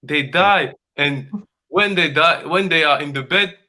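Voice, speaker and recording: Man, dance coach, earnest and repetitive, slightly high, forceful voice. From Congo. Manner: earnest and emphatic, repeats phrases, halting non-native English. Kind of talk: conversational coaching talk.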